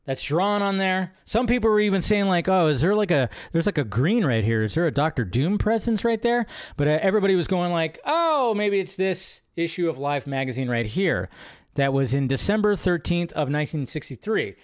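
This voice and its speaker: A man's voice: sits high, very animated, brisk, clear, resonant tone. A man hosting a live film news show with casual, unscripted commentary, rambling and thinking aloud with some mild swearing.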